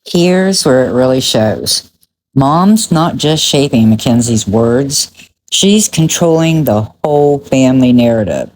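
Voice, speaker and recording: Low-voiced woman giving blunt commentary. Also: expressive, measured pace, darkish tone, a little hiss or hum, poor audio. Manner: blunt, emphatic, judgmental. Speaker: true crime commentator. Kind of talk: conversational true crime commentary.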